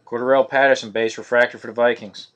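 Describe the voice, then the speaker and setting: Monotonous man calling out cards. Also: medium pitch, fast talker, smooth, highs cut off. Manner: monotonous, steady listing of cards. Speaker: card break host. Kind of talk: live-streamed card break commentary.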